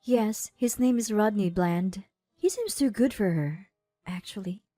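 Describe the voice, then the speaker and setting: A woman as an earnest, higher-pitched older sister. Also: unhurried, mellow, dry recording, faint music behind, natural voice. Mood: sincere. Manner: earnest, questioning. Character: concerned, sincere older sister. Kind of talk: dramatized story dialogue.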